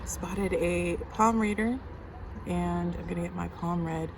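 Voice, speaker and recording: A woman's voice: on the low side, fairly even intonation, a little slow, vocal fry. A woman vlogger chatting casually, recorded outdoors. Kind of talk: casual vlog chat. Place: outdoors on an urban street. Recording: noisy outdoor street with traffic.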